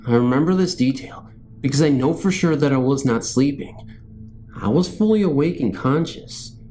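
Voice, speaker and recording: Mellow male horror story narrator over music. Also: medium pitch. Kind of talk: scripted narration of scary first-person stories. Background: background music.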